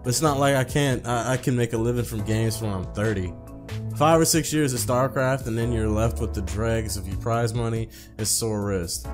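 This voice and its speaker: A man hosting a gaming video, reading story text aloud in an informal, slangy way with casual commentary. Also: mid-range voice. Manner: slangy, informal, repeats phrases when misreading.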